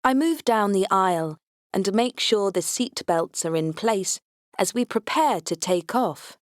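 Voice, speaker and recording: A woman's voice: medium pitch, animated, unhurried, no room sound, studio quality. A woman reading a science fiction comedy audiobook aloud in the first person, with a wry, tongue-in-cheek delivery. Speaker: audiobook narrator.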